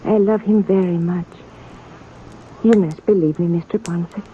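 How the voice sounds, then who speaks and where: A woman's voice: on the low side, fairly even intonation, normal speed, natural voice. An actress playing an earnest young immigrant woman in a 1950s radio western, speaking careful, simple phrases. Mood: pleading. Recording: tinny, noisy old radio recording.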